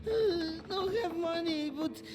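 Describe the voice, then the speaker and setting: An actor playing a frightened, pleading immigrant debtor in a western video game, stammering in a Polish accent. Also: male voice, extremely high pitch, slow. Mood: panicked, pleading. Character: frightened, pleading male Silesian immigrant debtor with little English. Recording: music behind the dialogue.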